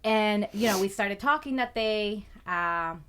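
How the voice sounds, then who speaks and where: A thirty-year-old woman from Puerto Rico, a guest in a casual interview, loud and talkative. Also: mid-range voice, a little slow, smooth. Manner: loud, talkative, swears and laughs often.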